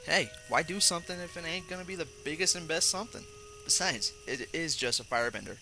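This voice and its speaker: A man's voice: fairly high pitch, fast talker, pushed and bright, soft background music. A man playing an idealistic young soldier in a fan audio drama, sincere and eager in his delivery.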